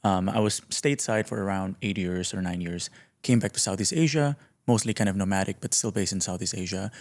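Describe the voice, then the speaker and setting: A man's voice: low-pitched, a little fast. A man in his late twenties from Indonesia, talking casually and self-deprecatingly as a podcast guest in an informal, slangy way. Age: late 20s. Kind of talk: casual conversational interview.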